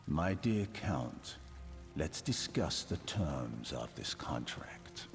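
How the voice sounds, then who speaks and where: A man playing a calm, menacing assassin leader in a video game, speaking in a measured, formal, authoritative way with a husky voice. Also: extremely low pitch, exaggerated voice. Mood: smooth menace. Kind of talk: video game character dialogue. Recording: long hall reverb, music underneath.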